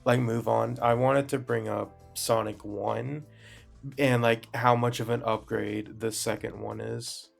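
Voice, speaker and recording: A young man co-hosting a casual podcast, giving measured opinions and hedging often. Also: mid-range voice, level tone, vocal fry, soft background music. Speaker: podcast co-host. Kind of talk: casual chat.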